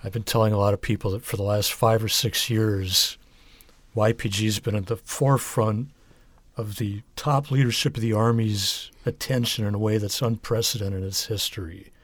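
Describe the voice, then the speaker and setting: Low-voiced male interviewer, dry sound. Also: no echo. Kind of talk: interview questions.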